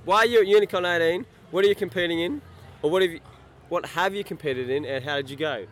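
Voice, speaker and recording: A man's voice: on the high side, fast talker, faint music behind. A man co-hosting a podcast interview outdoors, asking questions in a teasing, laughing way. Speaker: podcast co-host. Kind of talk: casual interview banter.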